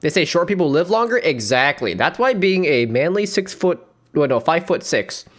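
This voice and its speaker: A man's voice: fairly high pitch, very animated, normal speed. A man streaming, giving rambling, playful reaction commentary on a dating show and laughing often.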